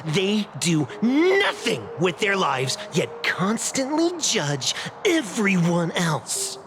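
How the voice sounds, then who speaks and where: A woman's voice: mid-range voice, huge pitch swings, slow, background noise. A woman playing a bitter, disgruntled follower in a game, griping scornfully about other people.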